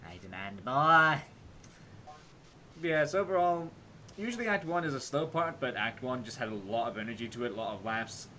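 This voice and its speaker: A man hosting casual group banter, playful and excitable in the way he talks. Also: mid-range voice, normal speed, a little hiss or hum. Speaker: host of a hobby voice-impression reading.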